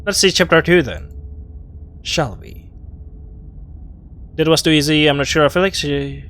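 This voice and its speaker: A man's voice: on the high side, measured pace, a bit bright. A man giving casual, playful game commentary, reacting in short murmured remarks on a close mic. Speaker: gaming video host.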